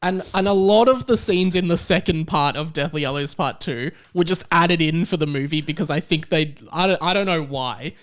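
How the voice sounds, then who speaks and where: A man's voice: fairly high pitch, moderate pace, few pauses, fairly bright tone. A man hosting a podcast in a casual, comedic, chatty style. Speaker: podcast host and quizmaster.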